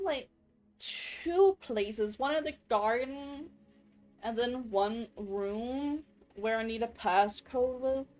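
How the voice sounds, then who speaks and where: A woman's voice: fairly high pitch, a little mellow, low-quality recording. A Danish woman streaming a game, thinking aloud and answering chat, often searching for words. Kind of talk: casual gameplay chat.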